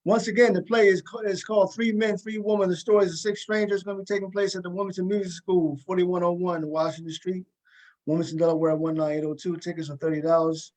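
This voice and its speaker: A man's voice: sits high, level tone, fast, a little mellow, rough recording. A man hosting a talk show in a casual interview style, often repeating short phrases as he responds. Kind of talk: casual interview with cast members.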